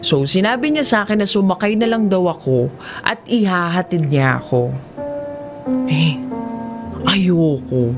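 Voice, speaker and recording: Slow-paced Filipino woman telling a dramatic story. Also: on the low side, expressive. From Philippines. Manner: conversational, acts out dialogue and inner reactions. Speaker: story narrator. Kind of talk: first-person dramatic storytelling in an audiobook manner. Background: music behind the voice.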